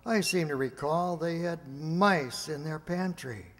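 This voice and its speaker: An actor playing a persuasive laboratory security chief, deliberate and emphatic, in a radio play. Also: male voice, a little high, fairly bright tone, soft background music. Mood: cajoling. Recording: echoey hall with long reverb.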